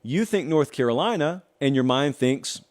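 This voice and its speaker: A man's voice: mid-range voice, expressive, moderate pace. A man hosting a college football show in a studio, talking through betting picks in a conversational, jokey way with asides to the viewers. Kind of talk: sports betting picks and chat to camera.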